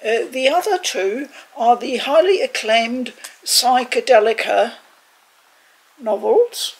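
Older woman, slightly husky, unhurried. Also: on the high side, poor audio. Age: 60s or older. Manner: measured, reflective, choosing words carefully. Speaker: game reviewer, video host. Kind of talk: conversational video review. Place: living room, indoors.

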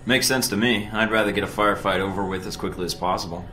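An actor playing a cocky, impatient young secret agent in a video game, speaking in a terse, dry, smug way. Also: male voice, fairly low pitch, steady tone, quick pace, sharp tone. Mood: curious, smug. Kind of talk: video game cutscene acting. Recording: roomy echo with music behind.

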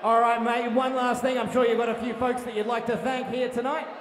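A man announcing a boxing bout and interviewing the winner in the ring on a handheld mic, drawing out his calls. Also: level tone. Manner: booming, drawn-out announcements. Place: large ballroom boxing event. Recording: echoey hall with crowd noise.

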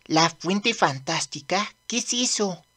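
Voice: mid-range voice, lively intonation, takes it slowly, fairly bright tone. A performer voicing a cocky, bratty young demon prince in a manga dub, with a swaggering, curt manner. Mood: curious, dismissive. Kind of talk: animated manga dub acting.

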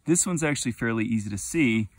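A man's voice: mid-range voice, animated, fast talker, a little hiss or hum. A young man giving casual, enthusiastic how-to commentary as he forages outdoors in a forest. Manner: enthusiastic, informal. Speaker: mushroom forager and video host.

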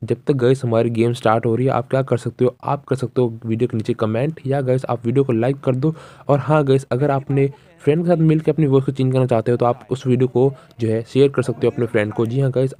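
A man's voice: mid-range voice, steady tone, fast talker, warm tone. A young man narrating a casual step-by-step phone tutorial, repeating instructions as he addresses viewers directly. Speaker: tutorial host.

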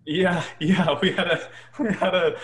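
A young man with a light, easygoing voice chats on a video call. He talks with animated swings in tone, laughs often and stumbles through restarts. It sounds like a small room at home. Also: high voice, a little slow. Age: young adult. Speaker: choir bass singer, guest. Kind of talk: casual video-call interview.

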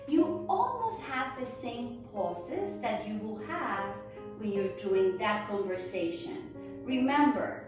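A woman giving an instructional dance lesson as a ballet teacher, with a measured, deliberate, encouraging delivery, recorded indoors on a distant camera microphone. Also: a little high. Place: indoors, hard-floored home studio room. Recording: echoey room, distant mic, music behind.

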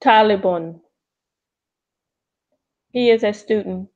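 A woman's voice: medium pitch, takes it slowly, darkish tone. A woman practising a new language in a lesson, hesitantly sounding out words and translating them. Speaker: language student. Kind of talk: halting read-aloud practice and translation in a lesson. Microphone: online call. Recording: dull, lo-fi online call audio.

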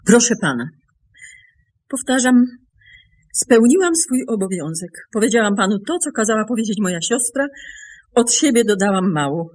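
An actress plays a guarded, serious woman in a radio play. Her voice is husky and medium in pitch, and she speaks with measured, dramatic firmness. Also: lively intonation, a little mellow, natural voice. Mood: firm, pleading. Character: grave, guarded woman keeping her late sister's secret. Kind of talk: Polish radio drama acting.

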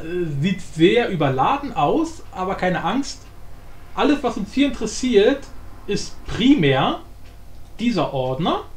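A man giving a casual software tutorial in an informal, blunt, rambling way. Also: high-pitched. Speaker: tutorial presenter. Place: indoor room, voice-over for screen recording. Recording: roomy echo, light background noise.

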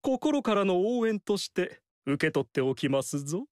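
A shrewd strategist, wry and formal, his voice swooping widely in pitch. The sound is dry studio. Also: sing-song, normal speed, a little mellow, dry recording. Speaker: game character.

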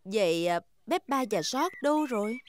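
A woman voicing a gentle, playful mother pig in a preschool cartoon, patient and teasing. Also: on the high side, animated, moderate pace, lightly acted. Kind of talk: Vietnamese dub of a preschool cartoon.

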